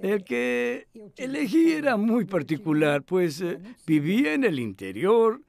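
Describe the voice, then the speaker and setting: A man's voice: high-pitched, sing-song, unhurried, gravelly, a little mellow, full character voice. A male actor dubbing a cultured older scholar who speaks reflectively and hesitantly in a conversational interview. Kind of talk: voice-over dub of a conversational interview.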